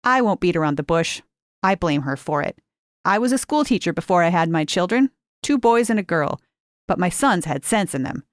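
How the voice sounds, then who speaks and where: A woman's voice: lowish voice, lively intonation, normal speed, dry recording, clean studio sound, close to an everyday voice. A woman voicing a stern, curt elderly retired teacher who talks in clipped, blunt sentences.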